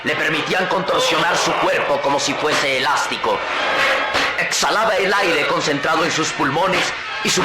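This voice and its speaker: A man with a slightly high voice narrates an old radio serial emphatically, building suspense. The sound is roomy and reverberant, with loud music underneath.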